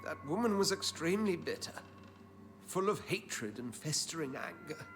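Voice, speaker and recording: An actor playing an anxious, defensive doctor in a video game, speaking in a formal, genteel, pleading way. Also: male voice, fairly high pitch, expressive, moderate pace, music in the background. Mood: guarded. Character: anxious, defensive English doctor with secretive scientific zeal. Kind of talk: dramatic video game cutscene acting.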